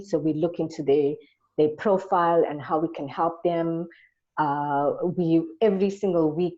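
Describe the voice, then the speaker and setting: A South African woman speaks as an interview guest in a deep, dark-toned voice. She talks conversationally and earnestly about herself and her work. The audio is dull and lo-fi. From Durban, South Africa.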